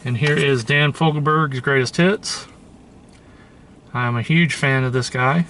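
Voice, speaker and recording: A man's voice: mid-range voice, unhurried, a bit bright, a little hiss or hum. A man giving a casual, informal show-and-tell commentary, musing aloud and offering his opinions. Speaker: host showing a thrift store tape collection.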